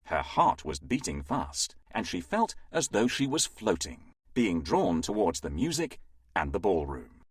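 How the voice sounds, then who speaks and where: A man reading a scripted children's fairytale as an expressive, dramatic storyteller. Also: sits low, unhurried, slightly rough, no room sound, studio quality.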